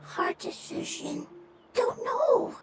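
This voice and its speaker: Very slow, high-pitched little creature. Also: female voice, very animated, distant mic, heavy background noise, full character voice. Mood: halting, worried. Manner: halting, clipped fragments. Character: small elemental creature speaking in broken, halting words. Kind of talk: video game character acting.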